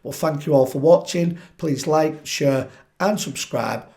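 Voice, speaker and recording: A man hosting his own political commentary channel, croaky from a chest infection, talking animatedly and putting questions straight to his viewers. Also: on the high side, unhurried. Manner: animated, asks viewers directly, frequent restarts. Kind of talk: opinionated solo commentary to camera.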